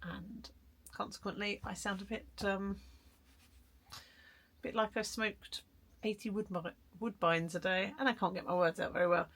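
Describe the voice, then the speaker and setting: A woman chatting casually in an art vlog, hoarse and croaky from a cold, rambling and self-deprecating. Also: medium pitch, steady tone, moderate pace, a bit bright. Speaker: vlogger. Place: garden shed studio.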